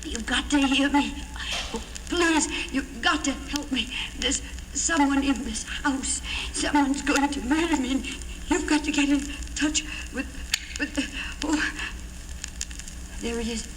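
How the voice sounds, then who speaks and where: Terrified high-voiced woman, old radio thriller. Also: unhurried. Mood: hushed terror. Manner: breathless, melodramatic, pleading. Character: terrified, desperate bedridden woman pleading on the telephone. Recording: noisy old radio recording, long reverb.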